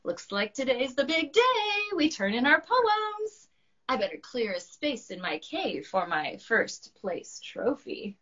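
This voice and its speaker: A woman's voice: on the high side, very animated, a little slow, a bit bright, pitched above the performer's own voice, exaggerated voice. A woman voicing a boastful but kindly dragon poet in a children's storybook, talking emphatically in a slightly dull recording. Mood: confident.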